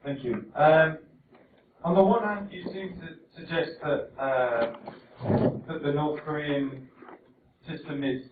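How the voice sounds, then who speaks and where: A man in a lecture audience asking a measured, probing question. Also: fairly high pitch, very noisy. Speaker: audience member. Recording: echoey hall, far mic, phone-like.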